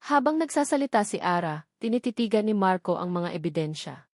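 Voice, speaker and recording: A woman reading a scripted dramatic love story as narrator, in a measured storytelling manner. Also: medium pitch, very animated, a little mellow, no echo, clean studio sound.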